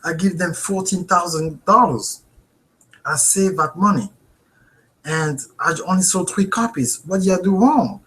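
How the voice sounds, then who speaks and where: A man in his thirties with a French accent, hosting a live webinar from a home office and talking into a webcam, earnest and animated, checking in with viewers. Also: high-pitched, a little slow, rough recording. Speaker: host.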